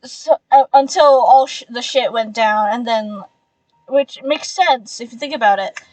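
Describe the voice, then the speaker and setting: A woman casually reacting to a story game as she plays, excitable and exclamatory, with casual swearing. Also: fairly high pitch, a little slow, no echo, poor audio. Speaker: game streamer.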